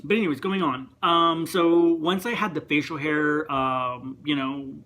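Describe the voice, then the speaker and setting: A young man with a higher voice that gets mistaken for a woman's, rambling through a casual rant and interrupting himself. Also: steady tone, a little slow, pushed and bright. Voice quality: higher voice, sometimes heard as female. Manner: rambling, chatty, self-interrupting. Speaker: vlogger.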